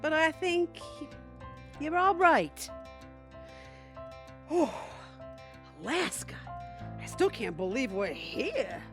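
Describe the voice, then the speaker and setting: A woman's voice: high voice, sing-song, slow pace, long pauses, faint music behind, full character voice. An actress playing a plain-spoken, wry, hesitant woman who longs to be braver, in an audio play. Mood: wry, flirting. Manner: folksy, candid, wry. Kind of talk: audio theatre acting.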